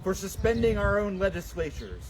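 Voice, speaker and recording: A man giving a solemn, dramatic public reading of a historical document, with deliberate, declamatory phrasing. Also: high voice, very animated, crowd noise. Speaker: public reader and livestream host. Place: outdoors at a town memorial. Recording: noisy outdoor livestream, traffic and street sounds.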